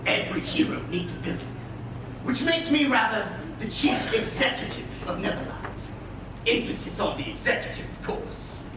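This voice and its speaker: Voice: medium pitch, animated, normal speed, natural voice. Sly theatrical pirate villain, acted on stage, distant and echoing. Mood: smug. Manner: mocking, grandiose, sneering delivery. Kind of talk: live stage play acting. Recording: echoey theatre, distant mics, noisy room.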